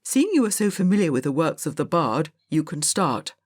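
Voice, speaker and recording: A woman's voice: low voice, very animated, normal speed, a little mellow, no room sound, clean studio sound. A woman reading an audiobook, voicing a stern, impatient elderly male schoolmaster who barks orders at his class. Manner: barking, scolding commands.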